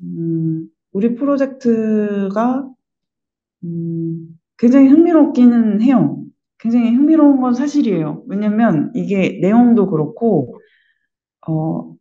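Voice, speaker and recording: A woman's voice: medium pitch, dark tone, highs cut off. A woman from a performing arts team giving a thoughtful review in a group discussion, often searching for words.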